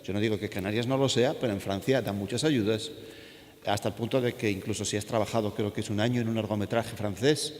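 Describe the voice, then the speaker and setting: Lowish man talking on a panel, emphatic, hall echo. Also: fairly even intonation, fast talker. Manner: emphatic, hesitant over names. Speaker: guest animator on a panel. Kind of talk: panel discussion. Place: art school event hall. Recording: echoey hall.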